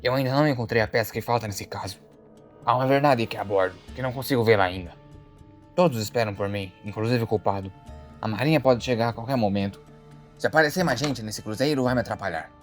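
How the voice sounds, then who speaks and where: A man acts out an earnest detective from an animated mystery. He talks quickly in a theatrical, brooding way with slangy asides, and music sits under his voice. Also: mid-range voice, natural voice. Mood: grave, deducing. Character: earnest amateur detective, brooding and easily startled. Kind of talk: dramatic monologue, animated short voice acting.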